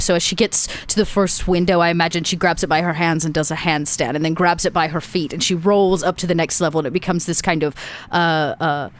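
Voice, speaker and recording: A woman casually describing her character's actions as a player in a roleplaying game, in a thoughtful way. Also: on the low side.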